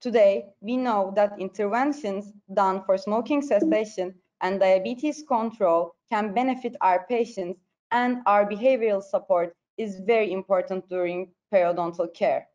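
A woman's voice: mid-range voice, moderate pace, darkish tone. A woman with a Turkish accent giving a formal, carefully read academic presentation. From Turkey. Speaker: presenter, periodontology postgraduate. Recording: dull, lo-fi online presentation audio.

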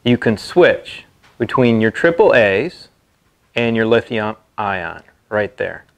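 American man, halting conversational delivery. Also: lowish voice, sing-song, gravelly. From Texas, United States. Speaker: product reviewer. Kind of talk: casual product review to camera. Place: open metal-roofed stable, seated at a pickup tailgate.

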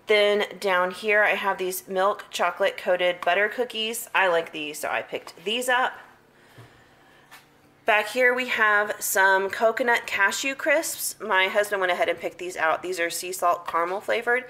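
Bright-voiced chatty woman vlogger. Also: mid-range voice, a little hiss or hum. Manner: chatty, upbeat, repeats words for emphasis. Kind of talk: casual grocery haul chat. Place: home hallway and kitchen, indoors.

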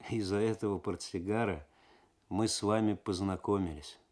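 A man's voice: fairly low pitch, steady tone, moderate pace, darkish tone, natural voice. A man voicing a keen young police detective with a playful, earnest manner.

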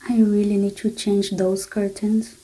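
A young woman plays an unhappy office worker, grumbling her way through the day in a slow, drawn-out voice that sounds very dark and mellow. She talks as an inner monologue in an animated short film, weary and flat, and a faint hiss sits under her words. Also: medium pitch, lightly acted, poor audio. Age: young adult. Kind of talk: scripted inner-monologue narration.

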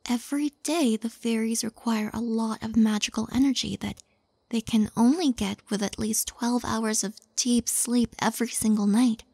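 A woman playing a sweet, shy young witch healer in an intimate audio roleplay, speaking gently and hesitantly, often near a whisper. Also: on the high side, fairly even intonation, moderate pace, creaky, slightly rough, no room sound. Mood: gently curious.

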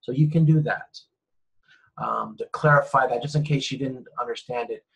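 A man's voice: medium pitch, slightly rough, low-quality recording. A man giving a recorded slide lecture, rambling and correcting himself with frequent ums. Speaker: university lecturer.

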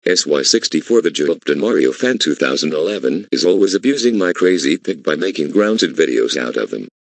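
A man's voice: very deep voice, very animated, fairly quick, vocal fry, no room sound, exaggerated voice. A synthetic male voice playing a scheming cartoon troublemaker, speaking stilted scripted lines. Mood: indignant. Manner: stilted, synthetic text-to-speech delivery. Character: scheming blue cartoon troublemaker who makes prank calls. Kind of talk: scripted animated dialogue.

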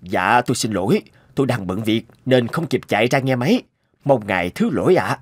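Man as harried male scientist, lively intonation. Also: medium pitch, brisk, close to an everyday voice. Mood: apologetic. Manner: harried, grumbling. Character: busy, grumbling male research scientist, adult, absorbed in work. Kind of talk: cartoon voice acting.

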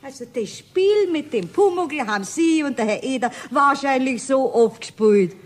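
A woman's voice: sits high, lively intonation, moderate pace. An actress playing a feisty, sceptical, outspoken cleaning lady with a Bavarian accent in a radio play. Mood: indignant. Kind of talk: 1970s German radio play.

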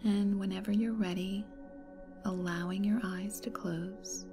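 A woman leading a guided meditation in a soft, breathy, soothing and unhurried way. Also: medium pitch, fairly even intonation. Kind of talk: slow guided meditation narration. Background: meditation music.

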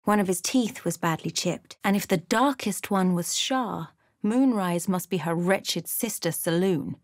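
A woman voicing a solemn adventurer who narrates a fantasy game journey in a measured storytelling manner. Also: on the low side, no echo, clean studio sound, close to an everyday voice.

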